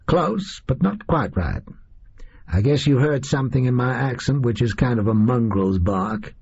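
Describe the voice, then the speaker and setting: A male reader voicing a disillusioned, boastful gunman in an audio story, with a high, reedy voice and folksy, slangy delivery. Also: animated, unhurried, vocal fry, darkish tone, breathy edge, highs cut off, exaggerated voice. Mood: smug menace.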